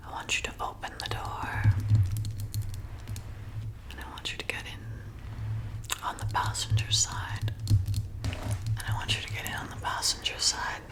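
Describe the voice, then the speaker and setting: A woman playing a flirty, commanding vampire bartender in an ASMR roleplay, softly coaxing and hypnotizing in a breathy whisper. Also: lightly acted. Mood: seductive, predatory. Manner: slow, soothing, coaxing, deliberate.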